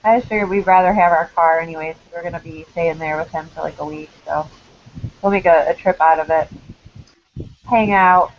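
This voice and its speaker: A woman chatting in a relaxed way on a group video call. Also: lowish voice, brisk. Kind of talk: casual group video chat. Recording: dull call audio with faint noise.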